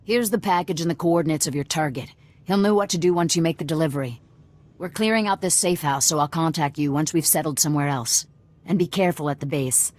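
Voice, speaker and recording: Actress as commanding female insurgent leader, low-pitched, wary, businesslike, video game dialogue. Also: quick pace, darkish tone, lightly acted.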